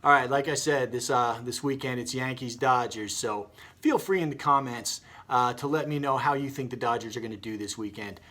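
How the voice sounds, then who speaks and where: A man hosting a children's read-aloud, moving between expressive storytelling and casual chat. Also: mid-range voice, normal speed, few pauses. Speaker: story reader and host.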